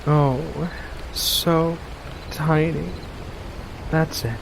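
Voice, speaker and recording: A man voicing a playful, coy female witch with faint menace in a soft-spoken ASMR roleplay, speaking in a coaxing, deliberate way with pauses. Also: a little high, fairly even intonation, creaky, noisy background, voice pushed up, exaggerated voice. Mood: coyly menacing.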